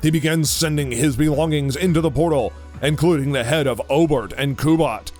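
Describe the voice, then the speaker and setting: A man's voice: a little high, fairly quick, darkish tone. A man narrating a scripted audio drama story. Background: music.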